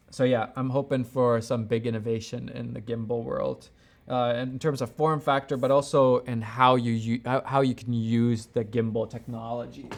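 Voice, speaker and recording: A man with plenty of vocal fry talks casually as a livestream host. He thinks aloud and rambles, often doubling back on his own thought. His delivery is unscripted and conversational, like an online creator answering questions from viewers. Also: medium pitch. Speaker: camera gear YouTuber hosting a livestream.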